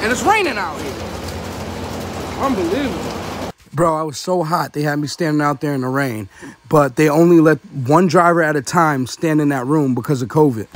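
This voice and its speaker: Trucker vlogger in his thirties, high voice, exasperated and chatty, phone at arm's length, music underneath. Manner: exasperated, chatty, says bro a lot. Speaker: truck driver. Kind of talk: casual vlog to camera. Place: outdoors at farms and loading docks, and in a truck cab. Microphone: phone held at arm's length.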